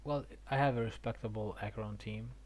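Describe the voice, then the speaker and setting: A man's voice: on the low side, steady tone, brisk, darkish tone. A man giving casual reaction commentary as he streams a game.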